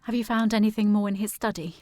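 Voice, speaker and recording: A woman's voice: medium pitch, steady tone, fast talker, warm tone, no echo, natural voice. An actress playing a determined, genteel lady with an English accent in a period audio drama, speaking formally and with command. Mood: grave, composed.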